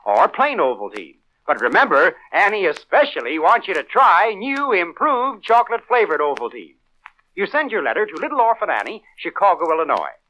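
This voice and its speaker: 1940s kids' radio announcer, American man, high-pitched, very animated, emphatic and enthusiastic, dull vintage sound. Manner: enthusiastic, emphatic, boyish exclamations.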